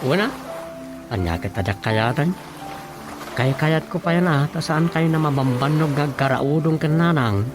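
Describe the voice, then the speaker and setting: Man playing excitable scheming villager, Filipino accent, comedy radio drama, animated swooping pitch, muttered asides, music underneath. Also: normal speed, close to an everyday voice. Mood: eager.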